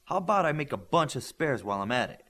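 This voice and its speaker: A man's voice: mid-range voice, very animated, fast, pushed and bright. A man reading the part of a mild, cautious peacemaking boy with powers in an audiobook, questioning and agreeable. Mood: reasoning.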